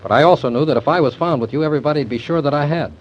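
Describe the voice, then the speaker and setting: An actor plays a confident young man clearing his name in an old radio mystery. He talks quickly and briskly, and the vintage broadcast sounds muffled. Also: on the high side, slight background noise, natural voice. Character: resourceful young man, accused suspect. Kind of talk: 1940s radio acting. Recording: dull old radio recording.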